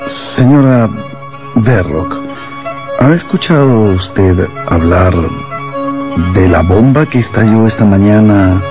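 An actor playing a courteous police inspector in a radio drama, probing politely and a little hesitantly, over music. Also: male voice, on the low side, lively intonation, takes it slowly. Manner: polite, hesitant, circling his questions.

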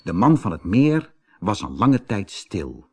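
A man narrating a scripted fairy tale for an audiobook in an expressive, dramatic storytelling manner, with a husky voice. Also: fairly low pitch, slow pace.

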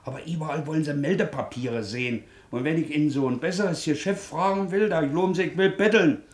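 Man playing a high-voiced desperate jobless ex-convict. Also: brisk, lightly acted. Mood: pleading, weary. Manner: colloquial, ungrammatical, plaintive. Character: jobless ex-convict shoemaker, stubborn, desperate, pleading for papers. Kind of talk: 1950s German radio play acting. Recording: roomy early 1950s radio studio.

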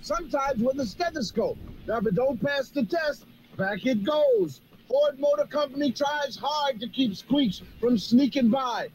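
A man voicing an old TV commercial with a chirpy sales pitch. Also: lively intonation, unhurried, darkish tone, a little hiss or hum, rough recording. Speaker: commercial announcer. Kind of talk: vintage TV commercial voice-over. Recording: old TV ad audio with music.

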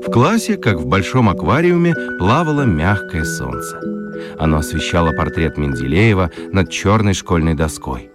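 A man reading a children's story aloud in a studio, telling it in an animated, playful way. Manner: animated, playful storytelling with wide pitch swings. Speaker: narrator. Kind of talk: scripted audiobook narration for children. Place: radio studio.

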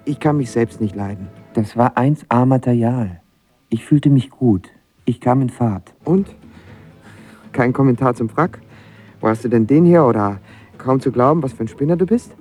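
A man's voice: medium pitch, mellow, lightly acted. Lovesick, volatile young man played by an actor. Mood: wistful, reflective. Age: young adult. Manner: colloquial, sarcastic, self-deprecating. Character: lovesick, volatile young male actor narrating his first heartbreak. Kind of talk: audio drama acting with first-person narration.

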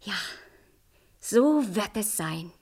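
A woman's voice: medium pitch, very animated, drawn-out words, full character voice. A woman playing a vain, bossy and dreamy young queen in a theatrical fairy-tale radio play. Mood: confiding, dreamy. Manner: haughty, imperious, dramatic.

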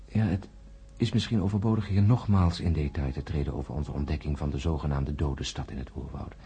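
A man playing a grim astronaut who narrates his ordeal in a radio drama, grave and measured. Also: very deep voice, fairly even intonation, mellow. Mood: grave, reflective. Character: grim astronaut Peter recounting his ordeal and warning of doom. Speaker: narrator and main character.

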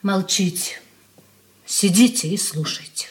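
A proud, discontented grown daughter played by a woman in a Soviet radio drama. Her voice is of middle pitch, knowing and a little aggrieved, as she instructs and prods. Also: takes it slowly, lightly acted. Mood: scheming, instructing. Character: discontented, proud married daughter, advising and prodding. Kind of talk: Soviet radio play acting.

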